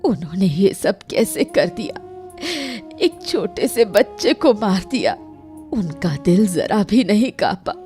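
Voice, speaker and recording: A woman's voice: medium pitch, sing-song, takes it slowly, warm tone, faint music behind. A woman voicing a young village mother mourning her little son in a melodramatic cartoon story, with a lamenting delivery. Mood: anguished, vengeful. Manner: wailing, lamenting.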